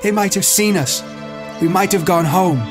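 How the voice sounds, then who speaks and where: A man's voice: lowish voice, moderate pace, music in the background, voice pushed up, lightly acted. A man voicing an angry, bitter boy chief in a storybook, accusing and intense. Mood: savage, bitter.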